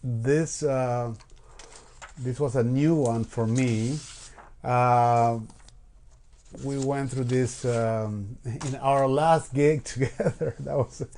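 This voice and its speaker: Man hosting a halting live stream chat. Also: mid-range voice, steady tone, mellow, slight background noise. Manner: halting, with many fillers and restarts. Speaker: host. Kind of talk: casual live-stream interview.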